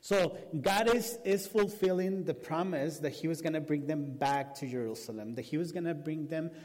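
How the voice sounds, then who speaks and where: A man with a Spanish accent, whose first language is Spanish, preaching a sermon and telling a story with animated restarts. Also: on the high side, normal speed. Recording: long hall reverb.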